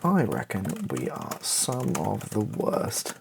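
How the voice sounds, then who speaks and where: A man's voice: fairly low pitch, huge pitch swings, slow pace, creaky, noisy background. A British man thinking aloud in an ASMR style as he writes, tentative, wry and self-mocking. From Britain. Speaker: ASMR video creator. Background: keyboard typing and clicks.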